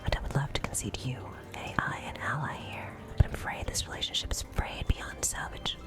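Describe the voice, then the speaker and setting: A woman in an experimental radio play, voicing an exasperated woman confronting an AI in wordy, accusatory, halting speech. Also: natural voice. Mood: bitter, exasperated. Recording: whispered voice under loud music.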